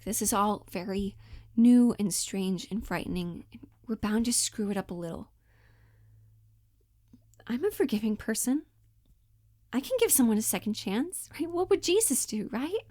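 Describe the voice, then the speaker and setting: A woman's voice: a little high, lively intonation, normal speed, long pauses, warm tone, no room sound, clean studio sound. A woman playing a scorned, vengeful jilted lover in an audition monologue, swinging between forgiveness and threats. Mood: wry, conciliatory. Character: scorned, vengeful woman left by her man. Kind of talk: dramatic comedic audition monologue.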